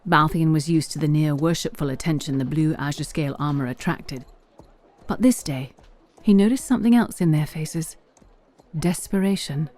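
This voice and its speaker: A woman's voice: deep voice, moderate pace, darkish tone. A woman narrator reading scripted story narration for a fantasy audio drama.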